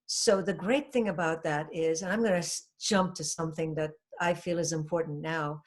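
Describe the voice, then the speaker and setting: A woman's voice: low voice, fairly even intonation, normal speed. An older woman from Trinidad, a hypnotherapist guest, speaking earnestly and reflectively in a casual interview, with frequent fillers.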